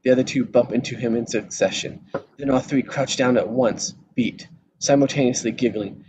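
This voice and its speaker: A man narrates stage directions for a script table read. His voice sits at a medium pitch, with slight background noise on the recording. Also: normal speed.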